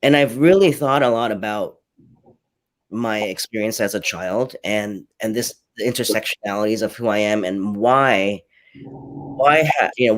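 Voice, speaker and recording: Earnest low-voiced man, Cantonese native. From Hong Kong. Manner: earnest, repeats words while gathering thoughts. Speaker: panelist. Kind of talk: candid personal reflection in a panel chat.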